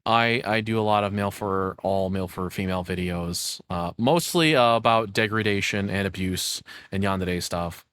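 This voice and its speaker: Low-voiced man chatting on a livestream. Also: normal speed, hardly any pauses, dry recording. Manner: rambling, excitable, informal with mild swearing. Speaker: livestreamer and audio roleplay voice actor. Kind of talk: casual livestream chat.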